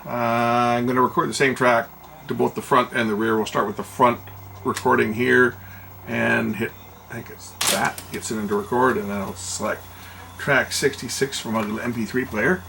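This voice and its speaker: Gravelly-voiced man giving casual repair commentary. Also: medium pitch, fairly even intonation, moderate pace, background noise. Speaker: repair technician presenting his own video. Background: music playback, guitar.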